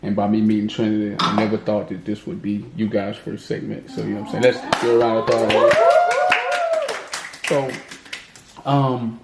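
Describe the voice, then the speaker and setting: A man hosting a casual podcast chat, talking in an easygoing, halting way with many fillers and restarts. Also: on the low side, little pitch movement, echoey room, heavy background noise. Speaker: podcast host.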